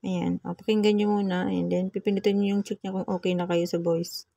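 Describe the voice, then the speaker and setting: A woman's voice: fairly low pitch, level tone, brisk, gravelly, crowd noise. A woman with a Filipino accent giving a casual, chatty step-by-step tutorial, often repeating her instructions to viewers. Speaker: vlogger giving a phone editing tutorial.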